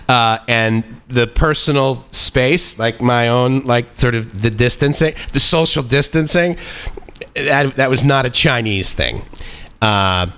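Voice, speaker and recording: A man in his forties talks on his own live stream in a casual, meandering monologue. His pitch sits in the ordinary middle for a man. He wanders between thoughts with plenty of fillers and restarts, and often chuckles at himself. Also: a bit bright, smooth. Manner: rambling, self-deprecating, chuckles often; loose, meandering with many fillers.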